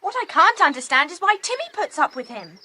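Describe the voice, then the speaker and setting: A woman playing a headstrong, impatient tomboy girl with a brisk, bossy manner in a children's audio drama. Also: very high voice, sing-song, bright, forceful tone, slight background noise, full character voice. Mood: annoyed.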